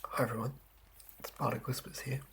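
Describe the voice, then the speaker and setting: A man whispering softly as he rambles through building a tabletop role-playing character, with lots of fillers and pauses. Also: mid-range voice, level tone, background noise. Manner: soft unvoiced whispering, rambling with many fillers; writes by hand as he talks. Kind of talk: whispered ASMR chat.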